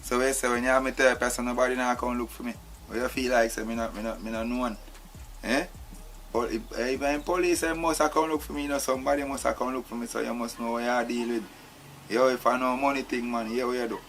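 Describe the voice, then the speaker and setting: A man's voice: medium pitch, fairly even intonation, quick pace, a bit bright, close to an everyday voice. A man playing a streetwise captive who is tied up, speaking in Jamaican Patois, irritable and constantly adding "you know". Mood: reasoning.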